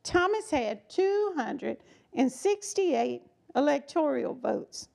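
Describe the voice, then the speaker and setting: A woman reads a children's story aloud expressively, with emphatic delivery, dramatic pauses and chatty asides to her young listeners. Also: sits high, very animated, creaky, darkish tone.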